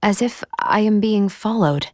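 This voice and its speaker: A woman voicing a composed female android in a video game, speaking formally and precisely with a measured, deadpan delivery and dry care. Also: medium pitch, no room sound, natural voice. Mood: calm, pensive. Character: composed female android crew member, formal and dryly caring. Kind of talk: scripted video game voice acting.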